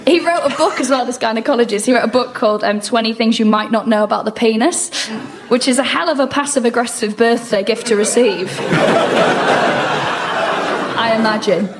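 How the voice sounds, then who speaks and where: A woman's voice: on the high side, brisk. A woman comedian riffing conversationally as a panellist on a comedy show. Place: radio comedy recording before a live audience. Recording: live comedy show with loud noise.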